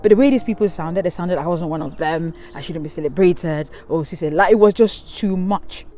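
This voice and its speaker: A woman's voice: lowish voice, brisk, mellow, soft background music. A young woman from Cameroon, telling a candid, rambling storytime to her channel, filming herself outdoors by a river with a handheld camera. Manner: candid, rambling, many ums and restarts. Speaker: vlogger. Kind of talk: casual storytime monologue. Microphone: handheld camera at arm's length.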